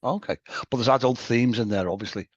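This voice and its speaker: Brisk male interviewer, fast talker, dry lo-fi sound. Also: medium pitch, husky, no echo. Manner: brisk, with quick short acknowledgements. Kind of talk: casual interview.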